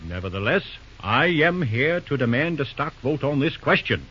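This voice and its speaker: An actor playing a pompous, scheming railroad shareholder who blusters indignantly in an old-time radio drama. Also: male voice, medium pitch, sing-song, moderate pace, music in the background, lightly acted. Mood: pompous, insistent. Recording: muffled, fuzzy old radio recording.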